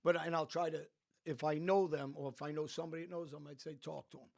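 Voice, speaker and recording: American guy, gravelly, kinda high voice, emphatic storytelling, restarts a lot. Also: steady tone, fast, a little mellow. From United States. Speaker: podcast guest. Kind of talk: casual conversation.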